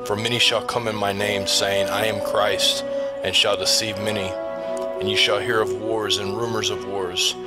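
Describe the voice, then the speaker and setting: A man's voice: on the low side, little pitch movement, normal speed. A man reading scripted scripture teaching as a Bible teacher. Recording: loud music under the voice.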